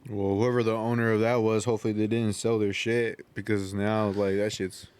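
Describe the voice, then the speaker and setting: A man's voice: lowish voice, level tone, moderate pace, darkish tone. A man in casual podcast banter, slangy and swearing freely. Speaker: podcast co-host. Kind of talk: casual chat. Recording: faint background noise.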